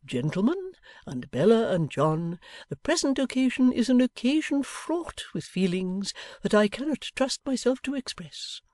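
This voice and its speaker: A woman reading an audiobook, voicing a gentle, sentimental older father who speaks tenderly and a little ceremoniously. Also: a little high, sing-song, no room sound, voice pushed up. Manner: tender and ceremonious, slow and tremulous.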